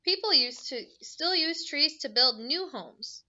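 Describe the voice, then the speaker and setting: A woman's voice: high-pitched, animated, unhurried, sharp tone, highs cut off, a little hiss or hum. A woman teacher reading a picture book aloud to young children, adding simple explanations and questions in an instructive way.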